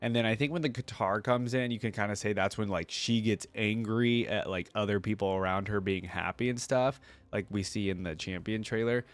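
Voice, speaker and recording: A man's voice: lowish voice, a little fast, few pauses. A man giving casual reaction commentary in an enthusiastic, conversational way, as if thinking aloud. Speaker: reaction video creator. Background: background music.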